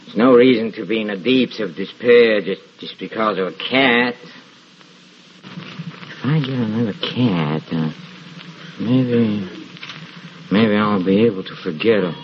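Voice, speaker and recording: An actor playing a drunken, haunted husband in old-time radio horror, muttering haltingly and repeating himself. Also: male voice, lowish voice, close to an everyday voice. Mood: morose, drunken. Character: drunken, haunted husband, brooding and evasive about a cat. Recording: dull, hissy old radio recording.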